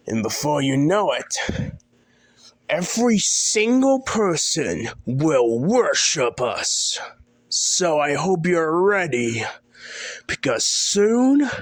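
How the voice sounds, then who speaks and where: Man playing a slow, high-pitched megalomaniac villain. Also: fairly bright tone, no echo, heavily acted. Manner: grandiose, menacing, slow and drawn-out. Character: megalomaniac self-proclaimed god king villain, male. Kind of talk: villain monologue in fan audio drama.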